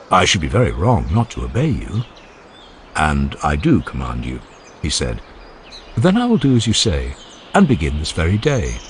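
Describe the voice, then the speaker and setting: A man narrating a dramatized classical dialogue in a formal, measured and expressive oratorical manner. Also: deep voice, a little hiss or hum. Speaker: audiobook narrator. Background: ambient sound effects.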